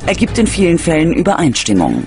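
A man's voice: fairly high pitch, huge pitch swings, a little fast. A man reading scripted true-crime documentary narration as the narrator. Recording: music behind the voice. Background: background music.

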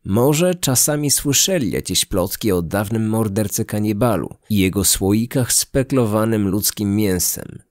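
Low-voiced male true-crime narrator. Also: a little mellow, no echo, clean studio sound. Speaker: podcast narrator. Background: background music.

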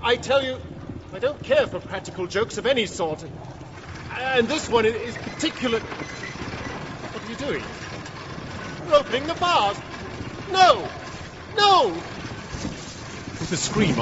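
A man's voice: medium pitch, natural voice. An actor playing a formal, intense man in mortal fear, telling his story in a radio drama, on a dull, noisy recording. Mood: panicked, then desperate. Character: terrified male narrator trapped with a predatory cat. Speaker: narrator and lead character.

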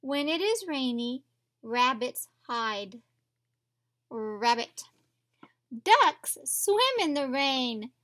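A woman's voice: sits high, animated, extremely slow, long pauses, fairly bright tone. A woman teacher reading aloud to young children, instructing and putting questions to them directly. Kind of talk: read-aloud storybook lesson for young children. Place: indoors at a table, reading from a picture book.

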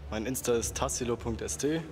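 Calm young man with a handheld mic. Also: medium pitch, a little fast, faint music behind. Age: 20s. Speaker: show contestant.

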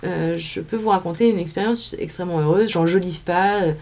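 A woman's voice: on the low side, brisk, a little mellow. A woman in her thirties from France with a French accent, chatting casually as an interview guest, full of fillers and restarts. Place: small studio set, indoors.

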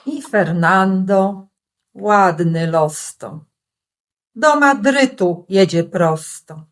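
A woman narrating a rhymed children's story with theatrical, deliberate, emphatic phrasing on a noisy amateur recording. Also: medium pitch. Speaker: storyteller in a community radio play.

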